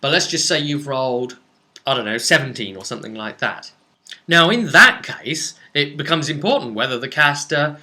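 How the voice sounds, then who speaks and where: A man's voice: fairly high pitch, pushed and bright. A man giving a casual, unscripted tabletop rules explanation, chatty and emphatic, thinking aloud and checking in with the listener. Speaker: tabletop game explainer answering a subscriber.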